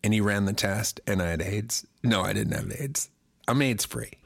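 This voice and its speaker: Gravelly man with a lingering cold. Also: fairly low pitch, normal speed, no echo, clean studio sound. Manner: crude, rambling joking. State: getting over a cold, coughing. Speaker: podcast co-host, singer. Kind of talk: casual podcast banter.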